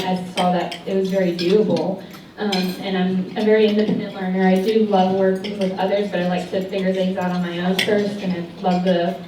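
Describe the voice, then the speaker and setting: A woman's voice: medium pitch, background noise. Student panelist, woman in her twenties, from Texas, chatty; distant mic in a reverberant hall, clinking cutlery behind. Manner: chatty, apologetic about a cutting mic, laughs often. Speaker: physical therapy student panelist. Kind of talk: panel answers at a luncheon. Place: large banquet hall. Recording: echoey banquet hall, distant mic, dish clatter. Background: cutlery and dishes clinking.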